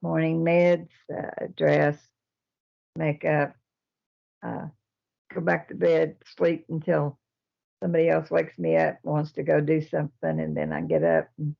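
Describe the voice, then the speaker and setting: Older lady, real gravelly voice, talks slow with lots of uhs, dull lo-fi sound. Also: sits low. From Texas. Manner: slow and halting, with many pauses and fillers. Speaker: nursing home resident and resident council president. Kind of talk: casual interview answers. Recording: dull lo-fi recording.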